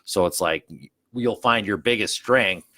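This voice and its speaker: A man with a Midwestern American accent, a guest on a business podcast, speaking in a relaxed, reflective way and thinking aloud. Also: lowish voice, unhurried, dry recording. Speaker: podcast guest, staffing agency rep. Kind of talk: casual business chat. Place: studio.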